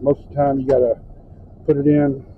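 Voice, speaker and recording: A man's voice: mid-range voice, flat delivery, brisk. Tinny-sounding man giving a casual how-to. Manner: plain-spoken, step-by-step, folksy phrasing. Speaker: truck driver and trainer giving a tutorial. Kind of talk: informal hands-on how-to narration. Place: inside a truck cab. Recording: tinny phone-like audio with background noise.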